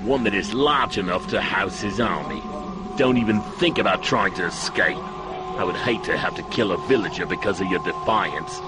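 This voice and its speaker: A man's voice: sits low, moderate pace, over loud music, lightly acted. A man playing a bullying mercenary thug in a video game, threatening, curt and mocking. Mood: menacing. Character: menacing male mercenary thug, bullying and sardonic. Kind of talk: video game cutscene acting.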